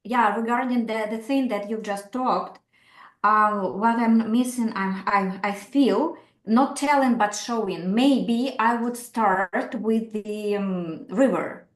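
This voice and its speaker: Ukrainian-accented woman, higher voice, hesitant answer, echoey room sound. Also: takes it slowly. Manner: hesitant, searching for words. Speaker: workshop participant, writer.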